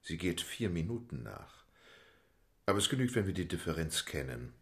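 A coolly precise, wealthy English gentleman in a radio play. His deep voice is clipped and imperious, with marked swings of intonation. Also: sing-song, moderate pace, full character voice. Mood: exacting, businesslike. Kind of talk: radio drama acting.

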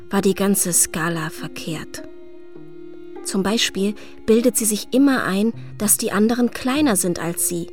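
A quietly observant, slighted young woman tells a radio play, acted by a woman. Her mid-pitched voice is wistful and intimate. She speaks in a measured way, leaving deliberate pauses. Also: lightly acted. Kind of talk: radio play narration and dialogue.

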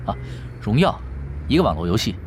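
A man's voice: fairly low pitch, very animated, brisk, a little hiss or hum. A man playing a laid-back young pro gamer in a radio drama, dry, teasing and nonchalant. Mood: dry, casual. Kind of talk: Chinese radio drama acting. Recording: music behind the voice.

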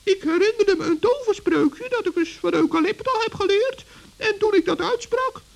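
A performer voicing a small, crafty, cheerful male forest gnome with a squeaky voice and an innocent, matter-of-fact manner in a children's radio play. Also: moderate pace, vocal fry. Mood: calm, sly.

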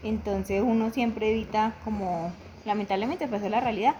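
A woman's voice: mid-range voice, dark tone, highs cut off. A young woman speaking in a mid-range voice, thinking aloud and often restarting her sentences. She tells her story in a personal interview over a faint hiss of background noise. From Venezuela. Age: young adult. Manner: reflective, halting, with frequent restarts.

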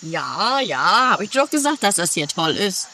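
A woman's voice: medium pitch, sing-song, unhurried, pushed and bright. Woman as an earnest, devout companion. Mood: eager, proud. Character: earnest, devout, obliging temple-building companion. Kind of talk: amateur comedy radio play acting. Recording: faintly noisy amateur 1980s recording.

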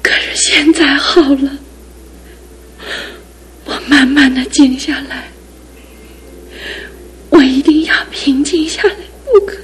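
A woman's voice: sits high, slow, long pauses, a bit bright. An actress playing a young woman facing her fiancé's lasting injury, earnest and tearful, in a radio drama. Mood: distraught. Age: young adult.

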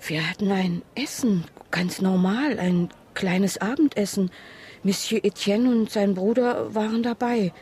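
A woman playing a shaken chambermaid in a radio crime drama, speaking in a halting, subdued way. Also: mid-range voice, a little mellow, close to an everyday voice. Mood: shaken, hesitant. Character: shaken, hesitant female chambermaid in a grand house.

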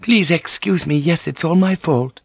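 A man voicing a soft, apologetic Japanese noblewoman who is cunning and deferential in an audiobook. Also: on the high side, a little slow, creaky, slightly rough, no room sound, pitched above the performer's own voice, full character voice. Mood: meek flattery. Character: penitent, cunning Japanese noblewoman, flattering and meek. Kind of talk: audiobook character acting.

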